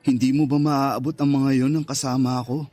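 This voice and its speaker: An actor playing a hurt, insistent young boyfriend who presses with frustrated questions in a radio drama. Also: male voice, mid-range voice, flat delivery, a little fast, dark tone, close to an everyday voice. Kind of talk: Tagalog radio drama acting.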